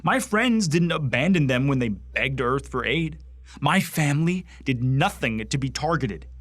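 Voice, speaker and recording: An actor playing a bitter, zealous young Martian recruit in a science fiction radio play, speaking with earnest, deliberate contempt. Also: male voice, lowish voice, dry recording, close to an everyday voice. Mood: bitter, indignant. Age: young adult. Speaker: actor in an audio drama.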